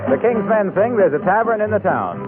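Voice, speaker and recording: A man's voice: high voice, big pitch swings, fairly quick, over loud music. An actor playing an eager salesman announcer in 1940s radio, polished and punchy. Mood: eager selling. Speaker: announcer and sponsor pitchman. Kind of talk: 1940s radio comedy acting and advertising. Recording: tinny 1940s radio, faint noise.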